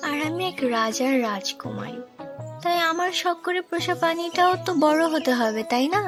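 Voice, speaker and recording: Woman as a fairy tale princess, young, teasing, coquettish, high-pitched, cartoon, music and birds behind. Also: animated, moderate pace. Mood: playful teasing. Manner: cheeky, coquettish. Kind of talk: animated fairy tale dialogue.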